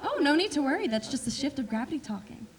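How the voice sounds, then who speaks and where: A woman's voice: sits high, very animated, fast talker. An actress in a staged reading playing a reassuring, upbeat woman doctor of a Martian colony. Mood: soothing, upbeat. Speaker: musical theatre actress. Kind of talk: staged reading, theatrical dialogue. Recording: echoey theatre, distant mic, faint noise.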